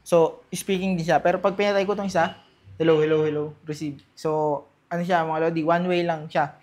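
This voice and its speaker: A man's voice: a little high, little pitch movement, brisk, darkish tone. A Filipino man doing casual unboxing and testing commentary as a vlogger, talking informally straight to his viewers and mixing in English. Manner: informal, addresses viewers directly, mixes Tagalog and English.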